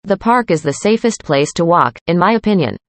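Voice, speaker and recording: Low-voiced woman reading lesson dialogue. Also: lively intonation, fairly quick, no room sound. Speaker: student in a dialogue. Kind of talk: scripted conversation reading.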